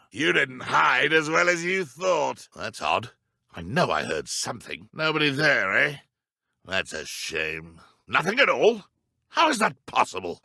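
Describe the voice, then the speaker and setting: An actor playing a smug, cold-blooded dark wizard henchman in a video game, with a British accent and a haughty, menacing manner. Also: medium pitch, gravelly, no room sound, clean studio sound, exaggerated voice. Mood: alert, threatening, then scheming. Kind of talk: video game character voice lines.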